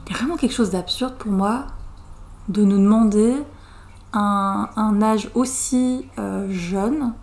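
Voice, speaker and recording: A woman's voice: mid-range voice, creaky, darkish tone. A woman, a psychologist talking to camera on her own channel, thinking aloud with many hesitations and restarts. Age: 30s. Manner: thoughtful, many fillers and restarts, pace swings. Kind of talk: casual talking-head explanation.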